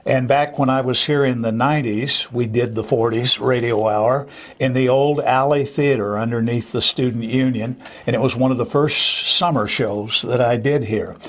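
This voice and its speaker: A man's voice: medium pitch, steady tone, moderate pace. An older man speaking off the cuff as an interview guest, a theater director who reminisces with enthusiasm. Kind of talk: unscripted interview conversation.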